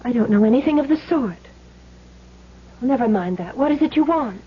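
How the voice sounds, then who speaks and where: An actress playing a loyal, plucky young wife in a 1970s radio drama, speaking earnestly and with emphasis. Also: female voice, on the high side, animated, a little fast, husky, highs cut off. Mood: firm, indignant. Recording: thin, band-limited old radio recording.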